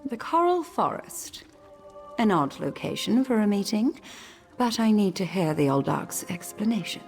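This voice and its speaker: A proud elf noblewoman speaks with lively, rising and falling pitch that carries her imperious indignation. Her voice sits at a middle pitch. Faint game music plays behind. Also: moderate pace, creaky. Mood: indignant. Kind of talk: video game voice acting.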